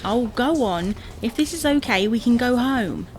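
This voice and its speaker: An actress playing a bossy, exasperated, teasing wife in a domestic comedy audio drama, brisk and dismissive. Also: female voice, a little high, expressive, slight background noise, lightly acted. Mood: coaxing, impatient.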